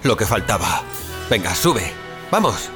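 A man's voice: lowish voice, sing-song, unhurried, over music. An actor playing a desperate, aggressive man who pleads and swears in an audio drama. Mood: irritated. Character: desperate, foul-mouthed man turning violently on his friend. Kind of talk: Spanish-language audio fiction acting.

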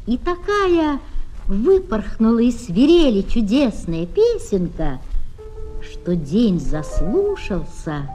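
A woman narrating scripted fairy tales for children in an expressive storybook manner. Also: mid-range voice. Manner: expressive, unhurried storybook delivery. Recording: vintage children's flexi-disc with music. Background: music.

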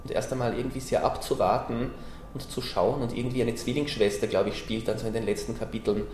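A man's voice: mid-range voice, fairly even intonation, quick pace, a little mellow. A man giving a casual, tentative interview answer in an echoey place with some ambient noise.

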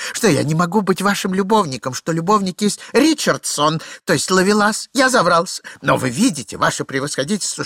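A flustered, obsequious gentleman of middle age from a storybook, played by a man. His husky voice leaps up and down as he babbles, pleads and corrects himself. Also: high-pitched, dry recording, studio quality, pitched above the performer's own voice, heavily acted. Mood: babbling, fawning. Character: flustered, obsequious middle-aged jealous husband, rambling nervously. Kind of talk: theatrical literary reading.

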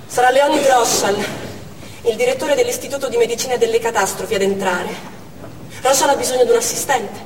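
A woman's voice: a little high, fairly quick, lightly acted. An actress playing a brave, anxious woman doctor and mother in a dramatic stage monologue. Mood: tense. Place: on stage in a theatre. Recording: echoey theatre hall from far off, noisy.

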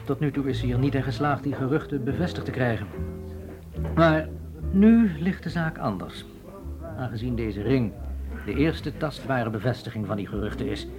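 Actor doing polite learned official, formal and measured, radio play w guitar music under it. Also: male voice, mid-range voice, animated, lightly acted. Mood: measured, inquiring. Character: courteous, learned antiquities ministry official. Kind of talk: radio drama acting.

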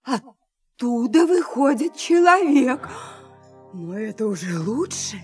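An actress playing a cooing, sociable housewife who stays unruffled, in a radio play. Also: female voice, fairly high pitch, sing-song, slow, husky, heavily acted. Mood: awed, then coaxing. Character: gushing, unruffled housewife fond of her roses. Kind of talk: theatrical radio drama acting. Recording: brass music behind the dialogue.

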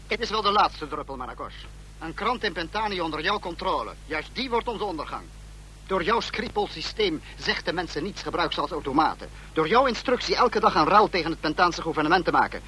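An actor playing a menacing dictator in a radio play, accusing and commanding in clipped, stern phrases. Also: male voice, a little high, expressive, brisk, sharp tone. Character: menacing male dictator, leader of a fascist state. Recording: humming, noisy old radio recording.

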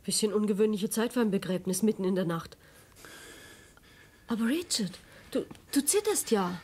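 An actress playing a worried, fragile wife in a German radio crime drama, breathy and halting, with a pleading manner. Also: female voice, medium pitch, expressive, a little mellow. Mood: puzzled, concerned. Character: worried wife, passenger on a night drive, fragile.

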